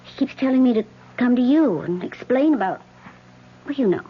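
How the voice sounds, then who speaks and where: A woman's voice: on the high side, animated, moderate pace, husky. An actress playing an uneasy, theatrical woman in a radio drama, with a hesitant, plaintive delivery. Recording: muffled old radio broadcast.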